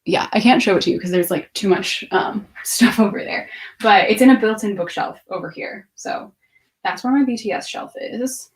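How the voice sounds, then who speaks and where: A woman's voice: medium pitch, moderate pace. A woman in her twenties chatting casually on a livestream, rambling and half-distracted as she thinks aloud and answers viewers' questions. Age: 20s. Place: room at home with bookshelves.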